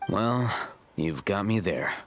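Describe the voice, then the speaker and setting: Aloof young scholar for a game: lowish voice, unruffled, precise, deadpan wit, over music. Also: male voice, takes it slowly. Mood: unruffled, wry. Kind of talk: video game character acting.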